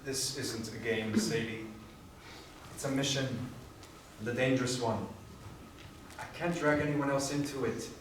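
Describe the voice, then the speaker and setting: A man's voice: medium pitch, fairly even intonation, unhurried, pauses often, natural voice. An actor playing an earnest, stubborn young man, speaking seriously and insistently in a stage musical. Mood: firm. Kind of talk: musical theatre stage acting. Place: staged reading before live audience. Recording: echoey hall, distant mic, noisy.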